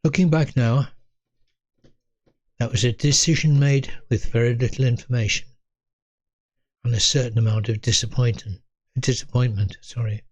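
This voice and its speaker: An English man in his eighties telling a reflective story from his own life in a measured manner, pausing between short phrases. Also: medium pitch, steady tone, a little mellow, dry recording. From North East England. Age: 80s. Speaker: storyteller, host of own video series. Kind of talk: reflective autobiographical storytelling to camera.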